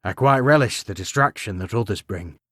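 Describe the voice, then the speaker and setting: A man's voice: fairly low pitch, expressive, fairly quick, a bit bright, no echo, studio quality. A man voicing a humble warrior lord burdened by guilt, who speaks in an earnest and restrained way.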